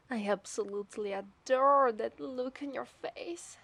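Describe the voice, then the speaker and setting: Obsessive, seductive mermaid for ASMR drama, played by a woman: Italian accent, higher pitch, very slow teasing delivery. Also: gravelly, a bit bright, exaggerated voice. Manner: slow, teasing, lapsing into whispers. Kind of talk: ASMR roleplay voice acting.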